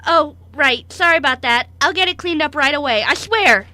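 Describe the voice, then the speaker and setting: A woman's voice: sits high, moderate pace, pushed and bright, dry recording. A woman voicing a clumsy, anxious young male dog-boy servant in an amateur radio play, apologetic, polite and easily flustered. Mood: sheepish, polite. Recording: amateur radio show recording.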